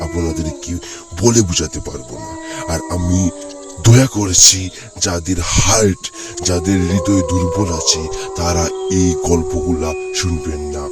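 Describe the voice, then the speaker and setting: A man with a deep, low voice hosts a horror story show. He speaks straight to the listeners in a scripted intro, phrasing things deliberately and dramatically with pauses. Music plays clearly behind him. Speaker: host of a horror story channel.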